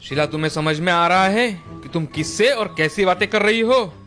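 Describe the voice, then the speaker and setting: A man's voice: high voice, normal speed, a bit bright, faint music behind. A man voicing a dismayed grown son in a cartoon family story. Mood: questioning.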